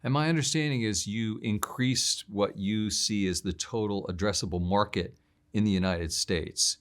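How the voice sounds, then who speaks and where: A man interviewing a business guest, firing off brisk, quick prompts. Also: lowish voice. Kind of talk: interview questions.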